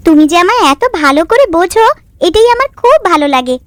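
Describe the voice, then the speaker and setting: A woman's voice: very high voice, very animated, fast talker, no echo. A woman voicing an affectionate, fussing village woman in a fairy-tale cartoon, coaxing and doting. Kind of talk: Bengali cartoon voice acting. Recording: background music under the voice.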